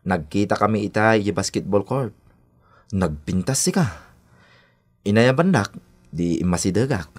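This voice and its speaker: A man with an Ilocano accent acting a brash, smooth-talking young cousin and suitor in a radio drama. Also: on the low side, lively intonation, moderate pace, long pauses. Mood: hurt, reproachful.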